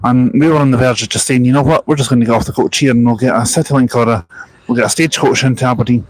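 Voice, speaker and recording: A chatty young man talks on a live stream from outdoors at a bus park and ride. His voice sits in a middling pitch. He rambles loosely between anecdotes, remarks on the buses going by and answers viewer comments. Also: fairly even intonation, very fast, low-quality recording. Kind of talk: casual live-stream chat.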